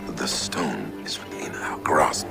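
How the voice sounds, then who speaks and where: A man playing a scheming, intense Viking negotiator in a video game, hushed, deliberate and coolly persuasive. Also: rumbling low, creaky, whispered, full character voice. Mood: cool, intent. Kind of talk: dramatic video game acting. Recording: dramatic music behind the voice.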